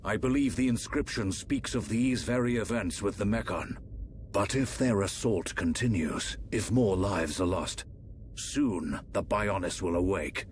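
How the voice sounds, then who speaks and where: An actor playing a grave, aging emperor in a fantasy video game, speaking in a formal, measured way. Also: male voice, on the low side, slight background noise, lightly acted. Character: solemn aging emperor, stately fantasy ruler and father. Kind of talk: dramatic video game voice acting.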